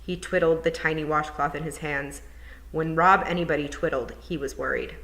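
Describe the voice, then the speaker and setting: A woman's voice: low voice, fairly even intonation, moderate pace, fairly bright tone, low-quality recording. A woman narrating a children's book expressively, as a teacher would at storytime.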